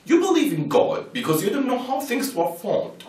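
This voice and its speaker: Man acting a brash atheist debater, very swoopy pitch. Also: sing-song, moderate pace, darkish tone, far from the mic. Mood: dismissive. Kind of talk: dramatized scripted debate. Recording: roomy echo, off-mic.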